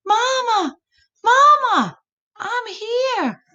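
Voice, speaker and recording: A woman's voice: extremely high pitch, sing-song, drawn-out words, sharp tone, voice pushed up, exaggerated voice. A woman voicing a plucky, boastful little boy possum for a children's storybook, in eager, breathless exclamations. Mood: upset, calling out.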